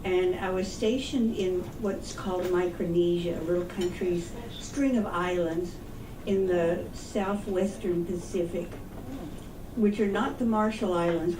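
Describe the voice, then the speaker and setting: A woman in her seventies giving a talk at a meeting, speaking softly and with hesitant restarts. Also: fairly low pitch. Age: 70s. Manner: soft-spoken, hesitant restarts. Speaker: guest speaker. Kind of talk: public talk. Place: meeting room. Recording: echoey room, far from the mic, noisy.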